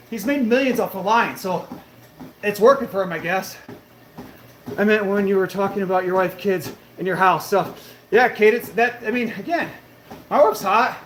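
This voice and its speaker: A man in his late thirties, livestreaming while on a treadmill, brash and boastful, a bit out of breath. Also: high-pitched. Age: 30s. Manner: boastful and brash, swears casually. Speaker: fitness livestreamer. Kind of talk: casual livestream chat reading comments. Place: gym on a treadmill. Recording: echoey gym room with faint noise.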